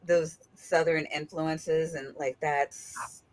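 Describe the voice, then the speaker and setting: Low-voiced, rambling woman from Illinois. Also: fairly even intonation, slow, poor audio. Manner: rambling, lots of "like". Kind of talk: casual chat.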